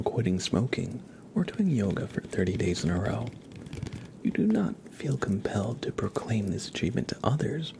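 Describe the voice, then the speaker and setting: A soft-spoken man whispering gently and politely in a casual ASMR livestream, chatting with viewers and reading cards. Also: on the low side, vocal fry, noisy background.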